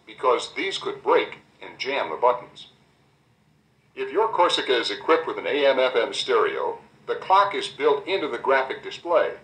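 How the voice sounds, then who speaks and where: An American man reading a scripted instructional guide, addressing the listener directly in a clear, explanatory way, on an old cassette recording. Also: mid-range voice, fairly bright tone. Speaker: instructional narrator. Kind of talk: scripted car owner's guide narration. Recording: roomy replay of 1990 cassette tape.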